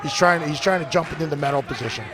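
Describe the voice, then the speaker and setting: A man's voice: a little high, very fast. A man doing live sports commentary, blunt and opinionated, often repeating words as he thinks. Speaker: lead commentator.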